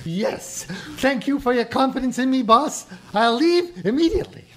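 A woman voicing a gentle, eager male angel centuries old, in a community radio play. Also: high-pitched, expressive, moderate pace. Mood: mild.

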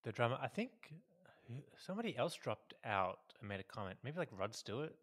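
A man's voice: medium pitch, very animated, fairly quick, a bit bright. A man co-hosting a podcast in casual chat, agreeable and fond of repeated short affirmations, on a podcast microphone. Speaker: podcast co-host. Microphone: podcast microphone, video call.

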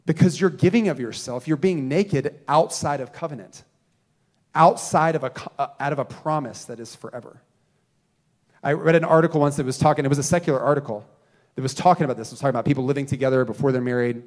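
Pastor in his thirties, earnest preaching, swings loud to hushed, average pitch, live on a church stage. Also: fast talker. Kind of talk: sermon. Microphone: handheld mic.

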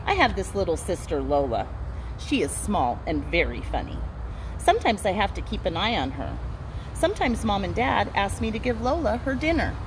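A woman educator reads a picture book aloud for children. Her medium-pitched voice is animated and expressive. The recording is outdoors, with noticeable background noise. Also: moderate pace. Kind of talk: expressive children's storybook reading. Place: outdoors in a garden.

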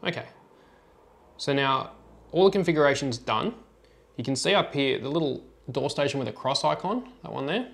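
A man's voice: mid-range voice, animated, normal speed, gravelly, a bit bright. A man presenting an informal tutorial, talking through a product demonstration step by step in a plain, instructional way.

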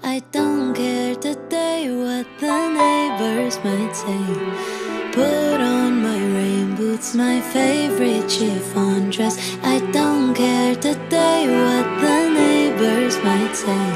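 A woman's voice: on the high side, slow. A woman singing a light, airy pop song. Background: loud backing music.

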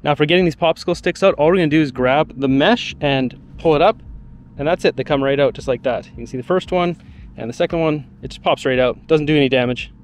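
Relaxed man demoing a car fix. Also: fairly high pitch, quick pace, slight background noise. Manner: relaxed, explanatory, with fillers. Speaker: vlogger. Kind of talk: casual how-to demonstration. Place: outdoors beside a parked car on a residential street. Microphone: action camera held at arm's length.

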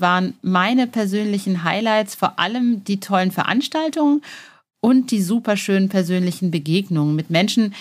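A woman's voice: mid-range voice, a little mellow. Female podcast host, mid-range voice, casual and gushing, slangy, talks directly to the audience. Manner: informal and slangy, addressing listeners directly; enthusiastic, gushing. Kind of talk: casual, chatty podcast presenting.

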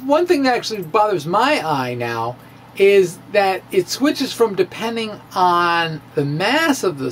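A middle-aged man delivers a science lecture like a dramatic reading. His pitch jumps around in a lively, emphatic way, and he moves slowly with halting pauses. There is faint noise behind his voice. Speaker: science video blogger. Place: indoor room with bookshelves. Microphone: handheld-style microphone on a stand, close in front.

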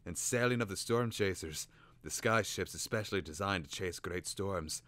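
A man's voice: deep voice, normal speed, a bit bright, no room sound. A man voicing an impatient talking caterbird who tells a tale in a storybook. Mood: storytelling. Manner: impatient, hissing. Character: talkative, testy male caterbird storyteller.

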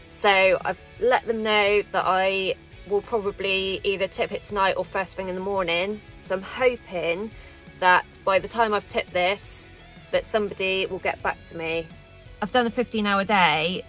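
A woman's voice: medium pitch, fairly bright tone, poor audio. Woman, 30s, truck driver, casual vlog, chatty, thinking aloud, close camera in a cab. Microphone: camera close in front, handheld or dash-mounted.

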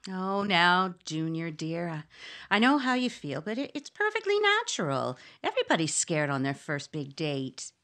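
A woman's voice: fairly low pitch, sing-song, normal speed, a bit bright, a little hiss or hum. A woman playing a sensible, sweet wife and mother in old-time radio comedy style. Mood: reassuring. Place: indoors at home on a video call.

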